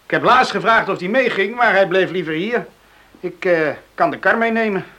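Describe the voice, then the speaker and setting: A man's voice: sits high, normal speed, bright, forceful tone. A man acting an eager young coastal fellow, kindly with animals, in a Dutch radio play.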